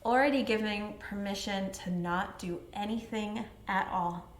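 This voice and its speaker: Slow-paced woman guiding a meditation. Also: medium pitch, a little hiss or hum. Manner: soothing, unhurried, gently instructing. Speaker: yoga nidra teacher. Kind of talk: guided relaxation meditation. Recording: roomy echo with music behind. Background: meditation music, musical instrument.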